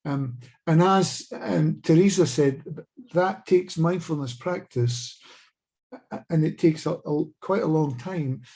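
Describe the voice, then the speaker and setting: Measured Scottish man, mellow tone. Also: a little high. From Scotland. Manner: measured, thoughtful, frequent small restarts. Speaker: participant asking a question. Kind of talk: webinar discussion. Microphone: video call.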